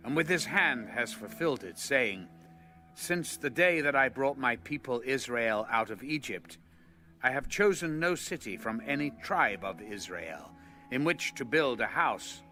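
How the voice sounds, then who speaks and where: A man's voice: medium pitch, lively intonation, unhurried, pushed and bright. An actor playing a reverent king in an audio Bible drama, addressing his people in a stately, declamatory way. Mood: solemn, proclaiming. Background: music.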